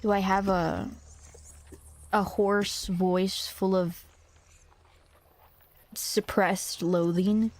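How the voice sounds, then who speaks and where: A woman's voice: medium pitch, a little hiss or hum. Slangy young woman rambling to chat. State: a little hoarse from a cough. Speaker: streamer. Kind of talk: casual streaming chat, reading a visual novel aloud.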